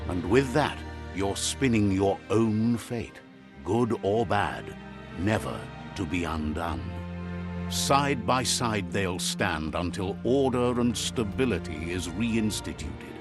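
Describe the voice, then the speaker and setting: A man narrates a video game like a storybook, relaying what each character says in a measured way. His voice sits low but moves with a lively, expressive melody. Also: over music.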